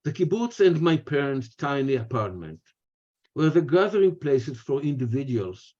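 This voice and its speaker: An older man with an Israeli accent delivers a prepared lecture over a video call. His voice is mellow and relaxed, and he moves in deliberate, measured phrases. The audio is dull and lo-fi. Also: medium pitch. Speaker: speaker, retired history professor. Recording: muffled, lo-fi webinar audio.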